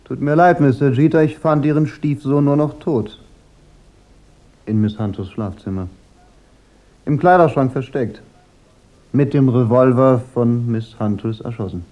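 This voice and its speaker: A man playing a cool, sardonic private detective in a German radio crime drama, speaking in a dry, measured, laconic way. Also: medium pitch, darkish tone, natural voice. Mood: dry, probing. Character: cool, sardonic private detective, unmasking killers with weary confidence. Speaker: detective and first-person narrator.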